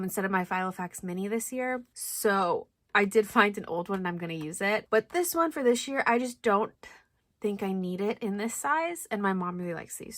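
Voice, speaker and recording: A young woman chatting casually through a stationery unboxing, thinking aloud with lots of likes and ums, her voice a little hoarse. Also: medium pitch, a bit bright, dry recording. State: slightly hoarse. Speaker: planner vlogger.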